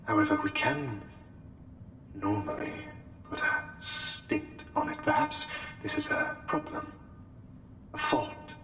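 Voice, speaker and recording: A man playing an eerily polite, menacing plague doctor in a formal, haughty ASMR roleplay, with deliberate, halting phrasing. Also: deep voice, full character voice. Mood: disdainful, musing. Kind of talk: slow, intimate ASMR roleplay monologue. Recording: long cavernous reverb, music underneath. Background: music.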